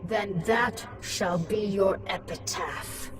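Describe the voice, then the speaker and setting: A woman's voice: medium pitch, slow, distant mic, loud music behind the voice, natural voice. An actress playing a ruthless, scheming alien queen who speaks in a menacing, mocking, commanding way in a video game. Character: ruthless, scheming alien swarm queen, once human, cold and gloating. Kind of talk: dramatic video game voice acting.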